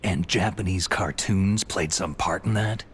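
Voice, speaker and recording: An actor playing a gruff, cold, battle-hardened soldier in a video game cutscene, with a gravelly voice and a terse, cynical manner. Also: male voice, deep voice, unhurried, fairly bright tone, heavily acted. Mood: guarded.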